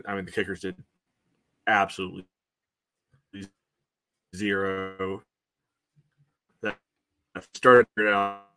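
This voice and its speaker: Male podcast co-host, lowish pitch with a forceful edge, casual sports analysis in measured, broken phrases. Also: level tone, smooth.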